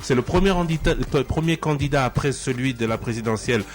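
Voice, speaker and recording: A man's voice: mid-range voice, quick pace. A man with a Congolese accent presenting a radio programme, making announcements to listeners as the host. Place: radio studio.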